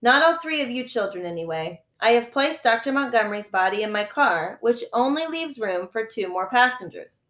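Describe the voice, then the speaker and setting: Smug male doctor, woman voicing him, calm, dismissive, evasive, storybook character, echoey room. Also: on the high side, normal speed, few pauses. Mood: matter-of-fact.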